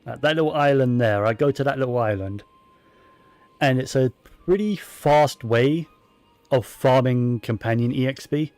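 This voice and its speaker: A man's voice: mid-range voice, moderate pace, darkish tone, no room sound, soft background music. Chatty man narrating his gameplay. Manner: chatty, rambling, with fillers and restarts. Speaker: gaming streamer. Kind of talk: casual gameplay commentary.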